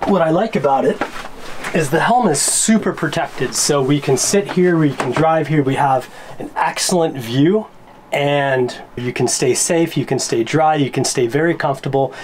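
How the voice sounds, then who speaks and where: A man's voice: mid-range voice, darkish tone, a little hiss or hum. An American man talking casually and explaining things in an easygoing way, as a sailing vlogger on a tour. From Arizona, United States. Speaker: sailing vlogger and boat owner.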